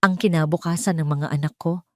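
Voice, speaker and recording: A woman's voice: low voice, steady tone, brisk, dry recording, studio quality, natural voice. A woman voicing a proud, protective single mother in an audio story, speaking firmly and emphatically. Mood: firm defiance. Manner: firm, emphatic.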